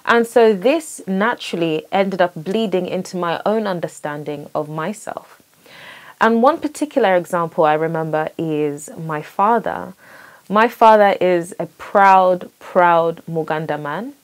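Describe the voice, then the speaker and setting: A woman's voice: fairly low pitch, lively intonation, measured pace. A young woman born in Sweden, hosting and speaking thoughtfully and earnestly about her identity, with small restarts. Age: young adult. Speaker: host. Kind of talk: reflective personal monologue.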